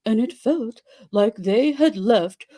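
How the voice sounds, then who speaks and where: A woman giving a theatrical dramatic reading of a story, with exaggerated delivery and dramatic pauses. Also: fairly high pitch, animated, warm tone. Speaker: dramatic reader.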